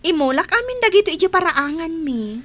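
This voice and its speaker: A woman's voice: extremely high pitch, sing-song, a little fast, a bit bright, heavily acted. A woman with an Ilocano accent playing a sweet, coy young woman being courted in a radio drama.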